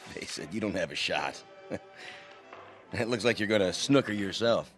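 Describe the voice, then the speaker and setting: An actor with an American accent playing an easygoing but wary traveling pool player in a radio drama. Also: male voice, on the low side, expressive, measured pace, a bit bright, soft background music. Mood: teasing, surprised.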